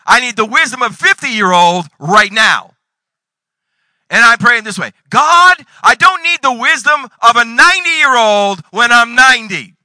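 A man in his forties preaching a sermon, reading scripture and breaking into sudden loud bursts. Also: very high voice, expressive, measured pace, no echo. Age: 40s. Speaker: pastor.

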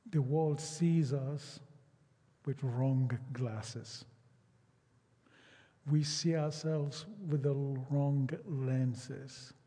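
Husky slow-preaching man. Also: medium pitch, fairly even intonation, mellow, long reverb tail. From Nigeria. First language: Yoruba. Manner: slow, emphatic preaching with drawn-out phrases and repetition. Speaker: pastor. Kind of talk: sermon.

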